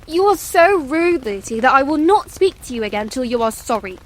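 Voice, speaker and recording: Woman voicing a high, dignified little princess. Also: sing-song, brisk, low-quality recording. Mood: composed, indignant. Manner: composed, precise, politely imperious. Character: dignified, self-possessed little princess, a young girl. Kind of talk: dramatized storybook reading.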